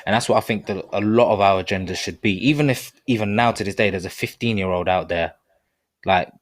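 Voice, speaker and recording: A man in his thirties with a low, deep voice speaks in an earnest, rambling way, often drifting into plugs for his own project. The recording is close and dry, with no room sound. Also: quick pace, no room sound. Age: 30s. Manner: earnest, rambling, promotional asides. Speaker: podcast co-host. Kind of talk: casual discussion.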